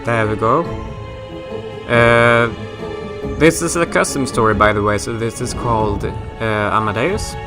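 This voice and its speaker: A man's voice: mid-range voice, measured pace, over music. A man from Sweden with a Swedish accent, rambling and joking his way through a horror game let's play. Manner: rambling, self-mocking, swears casually. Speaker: gaming YouTuber.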